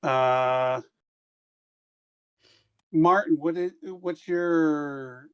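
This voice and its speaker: A man's voice: fairly high pitch, very slow, fairly bright tone, smooth, muffled recording. A man playing in a tabletop game, chatting casually and thinking aloud about tactics. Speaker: player.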